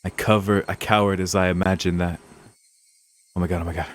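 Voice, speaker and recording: A man's voice: deep voice, little pitch movement, fairly quick. A man streaming a visual novel, reading the narration aloud with dramatic, casual, sweary reactions. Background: game music.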